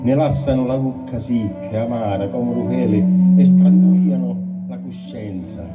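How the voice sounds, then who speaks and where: A man with a strong Sicilian accent recites poetry in a solemn, declamatory way. His mid-pitched voice sits a little away from the mic, with music clearly behind it. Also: lively intonation, a little slow, recorded from a distance, lo-fi sound.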